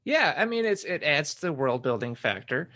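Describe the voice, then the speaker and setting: A man's voice: fairly high pitch, very animated, normal speed, sharp tone. A man hosting an interview podcast, speaking in an emphatic, agreeable, conversational way. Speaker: podcast host. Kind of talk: casual interview chat.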